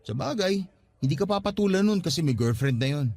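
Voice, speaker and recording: A man's voice: high-pitched, expressive, brisk, a little mellow. An actor playing an earnest, anxious young father in a Tagalog radio drama, speaking conversationally with many questions. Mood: puzzled. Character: earnest, anxious young father proposing to his estranged partner. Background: music bed.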